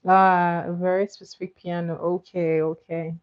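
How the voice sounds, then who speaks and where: A woman with Igbo as her chats easily with her livestream viewers in a lowish voice, giggling and doubling back on her words. The sound is dull and lo-fi, like a home stream with a basic mic. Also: flat delivery, moderate pace, clear, resonant tone. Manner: chatty, giggly, repeats words. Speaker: livestream host. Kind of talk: casual chat with viewers.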